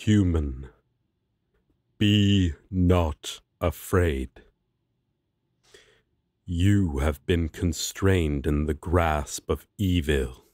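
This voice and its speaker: A man's voice: low-pitched, very slow, pauses often, a little mellow, no echo, clean studio sound. A man playing a righteous, protective angel warrior in a fantasy audio roleplay, speaking in formal, grandiose, archaic phrasing. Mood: solemn, reassuring. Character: solemn righteous male angel warrior, protective and self-important.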